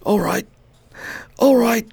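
A man's voice: extremely high pitch, slow, creaky, darkish tone, slightly rough, no echo, full character voice. Man acting a weak, suffering invalid nobleman. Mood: weak, weary. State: weak, in pain. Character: sick, suffering man of rank, pleading then weary. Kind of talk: radio drama acting.

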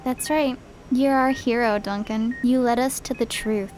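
A woman playing a scheming, triumphant young student rebel in a radio drama, deliberate and self-assured. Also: on the high side, fairly even intonation, a little mellow, lightly acted. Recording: clear background noise.

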